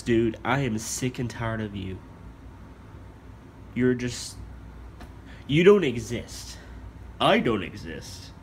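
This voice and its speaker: A man's voice: medium pitch, a little hiss or hum. Frustrated young man filming on his phone. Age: young adult. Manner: frustrated, swearing, calls people "dude". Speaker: amateur actor. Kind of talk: improvised home drama. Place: small bedroom indoors. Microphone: phone camera held at arm's length.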